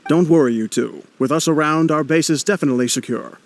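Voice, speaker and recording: A man's voice: on the high side, quick pace, slight background noise, lightly acted. An actor playing a confident, watchful male henchman in a video game, loyal to the boss. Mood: reassuring. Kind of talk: video game cutscene acting.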